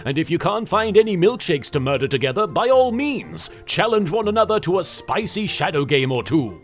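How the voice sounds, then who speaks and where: Casting: male actor as arrogant, theatrical anime pharaoh, boastful comic host, higher-set voice with very animated intonation. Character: cocky, dramatic young male Egyptian pharaoh spirit from anime. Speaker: host of a comedic countdown. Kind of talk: scripted comedic monologue in character.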